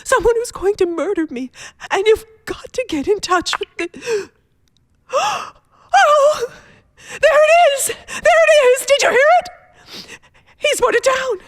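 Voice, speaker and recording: Radio thriller role: panicky, pleading wife, frantic and stammering, very high, dry close sound. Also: female voice, animated, takes it slowly, no echo, heavily acted. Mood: panicked. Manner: breathless, frantic, stammering, whispering to shouting. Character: terrified, pleading invalid wife alone at night, hysterical.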